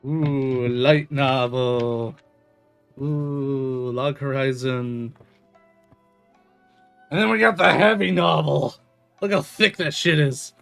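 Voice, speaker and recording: A man's voice: mid-range voice, steady tone, slow, gravelly, pauses often, a bit bright, clear, resonant tone. A man streaming a video game, chatting casually in an informal, rambling way. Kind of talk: casual livestream chat over gameplay. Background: game music.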